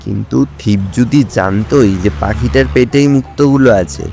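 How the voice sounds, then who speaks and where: A man's voice: mid-range voice, moderate pace, creaky, mellow, slight background noise, lightly acted. A man acting an earnest police officer who reports and asks questions in an audio drama.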